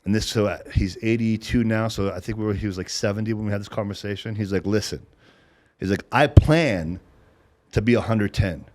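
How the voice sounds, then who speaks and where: Fast-talking blunt man in his thirties. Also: fairly low pitch, a little mellow. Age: 30s. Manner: fast, blunt, swears freely. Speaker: podcast host. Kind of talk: casual podcast conversation.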